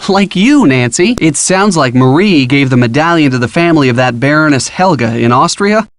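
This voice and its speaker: Young American man, played by a male actor, higher-pitched, animated, helpful college boyfriend, playful game hint dialogue. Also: normal speed, no room sound, clean studio sound, lightly acted. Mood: curious, encouraging. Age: young adult. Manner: casual, encouraging, playful. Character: helpful upbeat college-age boyfriend giving detective hints. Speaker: voice actor in a detective adventure game.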